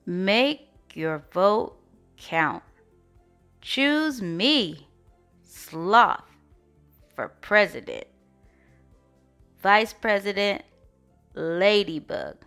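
Woman acting out storybook sloth, earnest, patient, extremely slow and drawn-out, faint background music. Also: medium pitch, very animated, fairly bright tone, heavily acted. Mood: earnest, unhurried. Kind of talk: storybook read-aloud.

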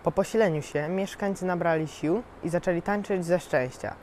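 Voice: medium pitch, normal speed, a little mellow, a little hiss or hum. Young teen narrator in a reverberant hall. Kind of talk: scripted story narration.

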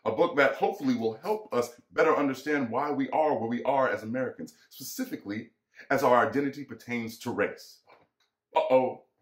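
Young man reading in an echoey room. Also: mid-range voice. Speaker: performer reading a book aloud. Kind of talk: conversational dramatic book reading. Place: small room indoors, seated.

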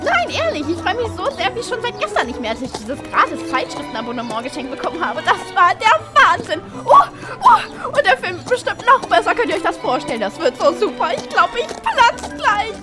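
A woman's voice: very high voice, huge pitch swings, fairly quick, few pauses, over loud music, a loud crowd, heavily acted. A woman playing an overexcited, gushing female pony who babbles in an audio drama. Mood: gushing excitement. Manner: gushing, repetitive, hyper.

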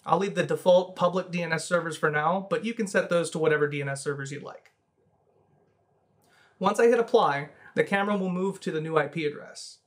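Higher-pitched man narrating a software tutorial. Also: a little fast. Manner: methodical, instructional. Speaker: tutorial presenter.

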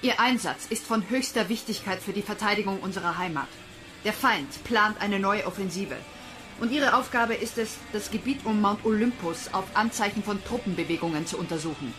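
Woman acting female officer, stern, commanding, medium pitch, sci-fi radio play, music underneath. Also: fairly quick, lightly acted. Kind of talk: science fiction radio drama acting.

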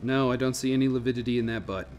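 A man playing a cautious, commanding scientist in a radio drama, deliberate and authoritative. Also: medium pitch, fairly even intonation, darkish tone, highs cut off, soft background music, lightly acted. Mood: pondering. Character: cautious, commanding male scientist in a sealed protective suit.

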